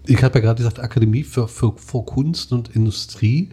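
Husky, dark-voiced man asking questions. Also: mid-range voice, fairly quick. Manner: inquisitive, asks follow-up questions. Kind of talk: casual conversation.

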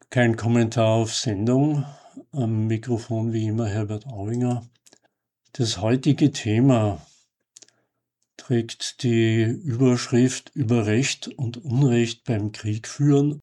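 A man reading a scripted commentary as a radio host, in a measured, formal and emphatic way. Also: medium pitch, mellow.

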